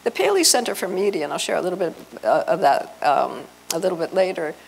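A middle-aged American woman giving an informal, digressive talk as a presenter, halting as she goes. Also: fairly low pitch, very animated, vocal fry, breathy edge. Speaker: presenter at a convention. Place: hotel meeting room with seated audience. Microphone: handheld microphone. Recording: noisy hotel meeting room.

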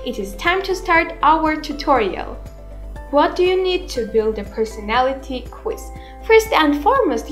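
A woman in her twenties presents a scripted tutorial in a high, girlish pitch. She takes her time over each phrase, speaking slowly and deliberately. Music plays behind her voice. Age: 20s. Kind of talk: scripted narration, software tutorial. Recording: roomy echo, music behind. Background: background music.

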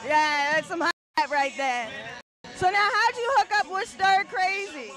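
A woman reporter with a handheld mic, hosting upbeat interviews in a dark nightclub. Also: very high voice, a little slow, crowd noise. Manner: upbeat and bubbly. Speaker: host reporter. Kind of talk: on-the-spot party interviews. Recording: music playing behind.

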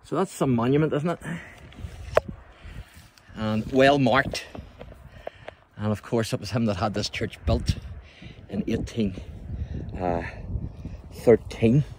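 Northern irish guy doing a chatty history walk outside, mid pitched voice, some noise on the phone recording. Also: takes it slowly, long pauses. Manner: chatty, addresses the viewer with tag questions. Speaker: local history guide and presenter. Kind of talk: informal walking tour commentary. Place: outdoors in a churchyard by the sea. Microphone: handheld phone.

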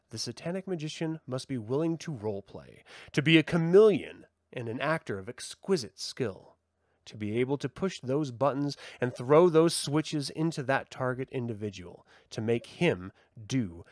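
A man reading a formal essay aloud as a host, with measured, emphatic delivery. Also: mid-range voice, animated, darkish tone, dry recording, studio quality. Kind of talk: expressive scripted essay reading.

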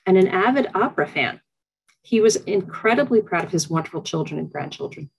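Husky-voiced woman, formal introduction. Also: on the low side, poor audio. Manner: measured, formal, reads from notes. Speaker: dean introducing the lecture. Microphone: video call.

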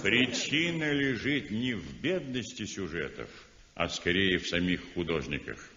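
A stern, sardonic art critic who mocks and declaims slowly, his pitch swinging widely. The old radio drama recording is dull and lo-fi, with a roomy hall echo. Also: very animated, bright, forceful tone, heavily acted. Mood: scornful. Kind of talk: 1960s radio drama acting.

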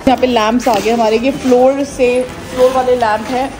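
A woman's voice: on the high side, fairly even intonation, a little fast. A woman, chatting casually for a vlog and mixing in English phrases. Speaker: vlogger and salon owner. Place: indoor salon rooms being set up. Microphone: camera held at arm's length. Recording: loud room noise, handheld camera audio. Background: loud ambient room noise.

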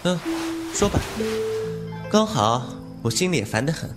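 A man's voice: on the high side, sing-song, unhurried, loud music behind the voice. A man playing a glib, cheeky young swordsman in a Chinese martial-arts radio drama, with a teasing, flippant manner.

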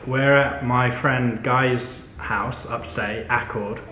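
A man's voice: medium pitch, fairly even intonation, slow, bright, forceful tone. A young adult man chatting casually in a dry, understated way as he shows people around. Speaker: vlogger's companion giving a house tour. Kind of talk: casual chat. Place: indoors, kitchen and large high-ceilinged house. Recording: echoey room with music behind.

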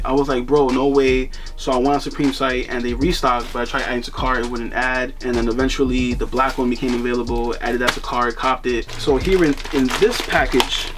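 A young man in a casual unboxing vlog, talking in a relaxed, chatty way with lots of fillers, seated in a small room in front of the camera. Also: mid-range voice. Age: young adult. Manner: laid-back, chatty, lots of "you know" fillers. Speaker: sneaker and streetwear vlogger. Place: bedroom with sneaker boxes, indoors.

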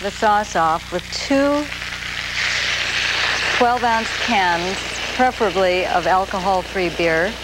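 A woman in her forties hosting a TV cooking show, explaining a recipe in a slow, gentle, soft-spoken way from a studio kitchen set. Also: mid-range voice, poor audio. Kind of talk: TV cooking demonstration. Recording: grainy 1980s videotape with noise.

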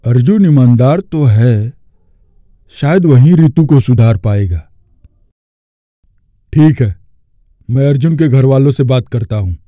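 A man voicing a kindly middle-aged company boss and indulgent father in a cartoon story, in a fatherly, measured way. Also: mid-range voice, expressive, no echo, dull audio. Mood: thoughtful. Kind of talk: Hindi cartoon story acting.

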